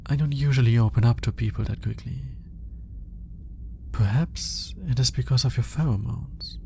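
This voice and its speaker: A man's voice: medium pitch, vocal fry, mellow, a little hiss or hum, close to an everyday voice. A man playing an awkward, analytical alien scientist in an intimate ASMR roleplay, speaking in formal, hesitant musings. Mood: hesitant, enchanted. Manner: hesitant, formal, articulate musing with sighs and pauses. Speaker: voice actor.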